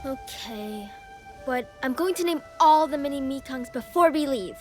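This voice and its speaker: An earnest little boy acted by a woman in a game cutscene. The voice is very high and childlike, eager and pleading, over background music. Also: expressive, measured pace, darkish tone. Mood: earnest, softer. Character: earnest, tender-hearted little boy who loves animals. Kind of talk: video game cutscene dramatic acting.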